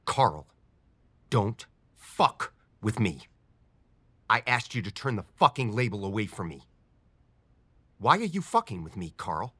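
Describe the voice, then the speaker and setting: An actor playing a volatile captive chess machine with a puppet head, speaking deliberately and swinging between menace and pleading in a dramatic film style. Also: mid-range voice, expressive, breathy edge, dry recording. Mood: menacing anger.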